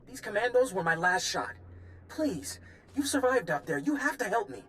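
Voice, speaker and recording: An actor playing an anxious, harried Republic official in a video game, earnest and full of worried complaint. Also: male voice, high voice, big pitch swings, moderate pace, fairly bright tone, slight background noise. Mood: pleading. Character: frazzled, self-pitying male Republic official, anxious and pleading. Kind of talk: video game character acting.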